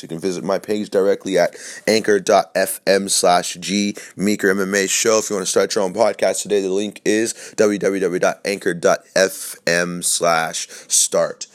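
A young man hosting his own podcast, talking casually and rambling with a scratchy voice from a sore throat, loose and profane, full of fillers and restarts. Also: sits low. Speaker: podcast host. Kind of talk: casual solo podcast chat.

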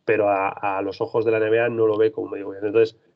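A man's voice: fairly low pitch, little pitch movement, very fast, slight background noise. A man who works as a freelance basketball reporter, answering interview questions in a thoughtful, rambling way with frequent restarts. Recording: dull lo-fi sound.

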